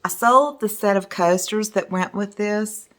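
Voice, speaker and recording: Woman, hoarse, middle-aged, unhurried, chatty and enthusiastic, art teacher at home. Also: mid-range voice. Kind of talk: casual video talk showing artwork. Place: her home in the evening.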